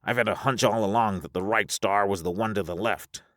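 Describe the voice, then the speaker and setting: A man's voice: fairly low pitch, fairly quick, a bit bright, no room sound, studio quality, pitched above the performer's own voice, close to an everyday voice. Man acting a strained, pleading, desperate spaceman. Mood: trembling. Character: desperate, stubborn spaceman afraid of being alone. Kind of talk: science fiction audiobook character voice.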